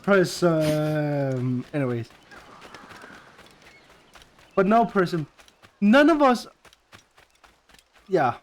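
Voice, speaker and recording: A man's voice: on the high side, expressive, extremely slow, long pauses. A man chatting casually on a game stream, often groping for the right word. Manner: gropes for words, trailing off.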